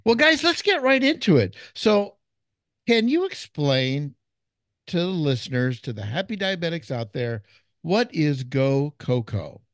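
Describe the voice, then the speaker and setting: A man's voice: fairly high pitch, sing-song, a little slow, no room sound, clean studio sound. A man hosting a cooking podcast with enthusiastic, exclamatory energy. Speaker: podcast host, chef.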